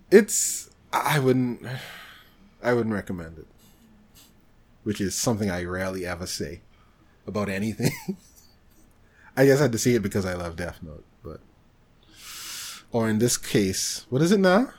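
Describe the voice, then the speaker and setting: A man co-hosting a podcast, chatting casually about films in an animated, exclamatory way. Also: on the low side, measured pace, pauses often. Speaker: podcast co-host.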